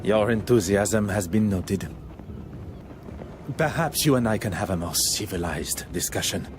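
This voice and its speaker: An actor playing a shrewd, courteous, self-assured detective with a Belgian French accent, speaking formally and precisely in a game. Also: male voice, on the low side, unhurried, background noise, lightly acted. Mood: measured, probing. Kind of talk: scripted game dialogue and narration.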